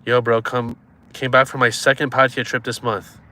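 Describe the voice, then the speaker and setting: A man's voice: medium pitch, level tone, normal speed, sharp tone. A man in his twenties chatting casually on a live stream, joking and teasing viewers as he reads their comments. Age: 20s.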